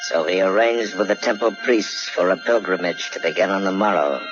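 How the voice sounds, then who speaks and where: A man as a deep, solemn radio-play storyteller. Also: a little fast, lightly acted. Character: solemn male storyteller of an old Japanese legend. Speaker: narrator. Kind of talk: old radio drama narration. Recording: dull vintage radio recording, loud music.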